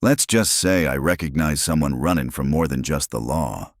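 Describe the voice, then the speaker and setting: Man doing a drawling, gravel-voiced marshal. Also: rumbling low, no echo, studio quality. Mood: steady. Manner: slow drawl, rough as gravel. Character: weathered male marshal, calm, wry and protective.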